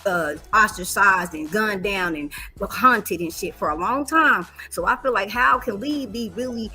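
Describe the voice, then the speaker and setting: A woman in her forties argues with heat and swears freely, hammering the same phrase again and again to drive it home. Her voice sits high and comes across bright and forceful. Soft music plays underneath her talk. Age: 40s. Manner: emphatic, profane, repeats phrases for stress. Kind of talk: heated casual debate.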